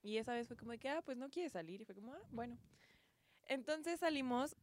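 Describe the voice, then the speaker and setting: A woman's voice: fairly high pitch, expressive, a little fast, a little mellow, slight background noise. A young adult woman with a Mexican accent, telling personal anecdotes in casual chat among friends as a co-host.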